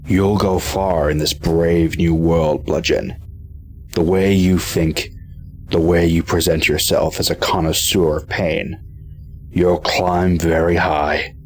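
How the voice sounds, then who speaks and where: A man voicing a heroic, wry robot soldier in an animated fan dub, measured, earnest and dryly self-deprecating. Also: soft background music. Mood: grave, defiant. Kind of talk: fan-dub voice acting with inner monologue. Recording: noisy track with low rumble.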